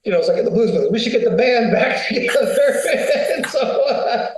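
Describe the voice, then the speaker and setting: A man's voice: very high-pitched, lively intonation, brisk, reverberant. A man, telling long rambling stories as a podcast guest, full of fillers and asides. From United States. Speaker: winery founder, guest. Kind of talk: casual interview talk.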